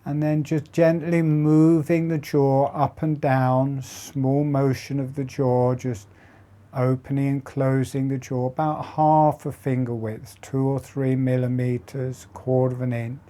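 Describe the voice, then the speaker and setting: Male meditation guide, slow and steady, low-key even delivery, mellow tone. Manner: slow, soft, halting phrases with long pauses. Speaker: meditation teacher.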